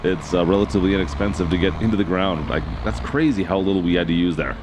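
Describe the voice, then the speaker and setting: A man with a hoarse, raspy voice casually commenting as he plays a farming game, thinking aloud and talking to his viewers. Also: deep voice, quick pace, noisy background. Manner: chatty, thinks aloud, addresses viewers as guys. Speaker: game channel host.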